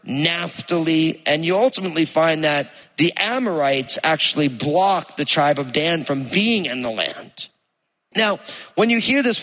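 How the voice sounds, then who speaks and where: A male pastor with a New Jersey accent preaches in a conversational, emphatic way. His voice is bright and slightly high for a man. Long reverb rings through the church hall around him. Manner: conversational, emphatic, slows to read place names. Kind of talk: sermon with scripture reading. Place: church hall with live congregation.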